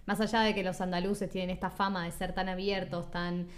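A woman's voice: lowish voice, flat delivery, fairly quick. A woman from Uruguay with a Uruguayan accent, hosting a casual conversational interview. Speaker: podcast host.

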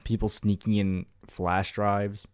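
A man's voice: lowish voice, level tone, slow, clean tone. A man co-hosting a podcast, chatting casually and asking curious questions, often apologizing as he cuts in. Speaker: podcast co-host. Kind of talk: casual chat.

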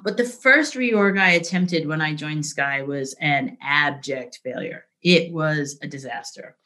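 A middle-aged woman speaking as an interview guest, telling candid work stories in a lively, conversational way, often asking "right?". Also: on the low side. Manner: animated, frequent "right?" and "like", laughs easily. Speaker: interview guest, business leader.

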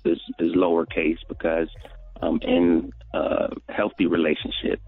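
A man in his thirties with a very deep voice full of gravelly fry. He talks in a halting way, with frequent restarts and fillers. The sound is tinny, like a phone line. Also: completely flat delivery, no echo. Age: 30s. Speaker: guest. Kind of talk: casual interview.

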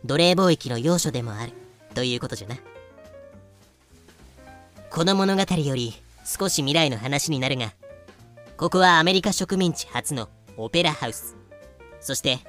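A woman's voice: deep voice, animated, moderate pace, long pauses, a bit bright, soft background music. A woman playing a proud female merchant in an audio drama, lecturing in haughty, old-fashioned speech. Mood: boastful. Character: proud, archaic-talking female merchant from colonial Carolina. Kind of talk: in-character explanation in a voice drama.

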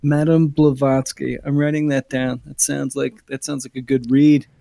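A man's voice: medium pitch, little pitch movement, normal speed, vocal fry, mellow. An American man from Utah co-hosting a casual comedy news podcast, talking in a profane, irreverent way. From Utah, United States. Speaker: podcast co-host.

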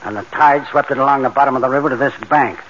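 An actor playing a tough, take-charge bank robber in a 1940s radio drama, with colloquial, commanding, streetwise talk. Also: male voice, medium pitch, fast talker, a bit bright, natural voice. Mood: explaining, intent. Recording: muffled, noisy old radio recording.

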